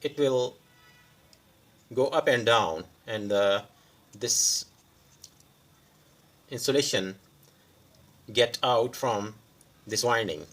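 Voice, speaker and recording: A man who is a non-native English speaker gives an unscripted hands-on repair demonstration, pausing mid-sentence in short phrases. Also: medium pitch, a bit bright. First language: not English. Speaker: electronics repair tutorial host.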